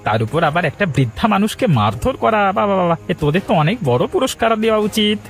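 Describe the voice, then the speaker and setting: High-pitched, quick, indignant village man, acted by a man. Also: sing-song. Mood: sarcastic, mocking. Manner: scolding, sarcastic, threatening. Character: indignant righteous village man, protective uncle, scolding wrongdoers. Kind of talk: Bengali cartoon voice acting.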